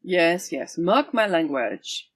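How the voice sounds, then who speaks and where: A woman's voice: on the low side, big pitch swings, takes it slowly, husky, dry recording, studio quality, full character voice. A woman playing a proud, teasing swashbuckling swordswoman in an audio drama, with a theatrical, imperious manner. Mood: stern, exasperated. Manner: theatrical, formal phrasing, imperious.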